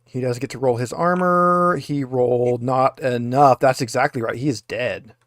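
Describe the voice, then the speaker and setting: A man's voice: mid-range voice, normal speed, a bit bright, no room sound, studio quality. A man running a tabletop roleplaying game as game master, explaining rules and guiding players step by step.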